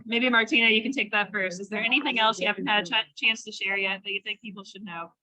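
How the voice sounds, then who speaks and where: A woman's voice: mid-range voice, fairly even intonation, fast, pushed and bright, low-quality recording. A young woman hosting an interview over a video call, enthusiastic and upbeat. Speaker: interview host. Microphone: laptop mic on video call.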